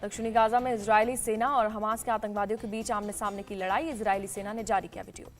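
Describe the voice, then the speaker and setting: A woman news anchor with an Indian accent reading scripted, rapid-fire news headlines. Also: mid-range voice, little pitch movement, faint music behind.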